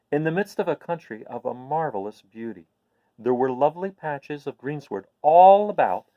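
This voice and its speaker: A middle-aged man hosting a read-aloud for children, chatty and explanatory, moving between casual talk and expressive storybook reading. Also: mid-range voice, no echo, lo-fi sound. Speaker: host of a read-aloud series.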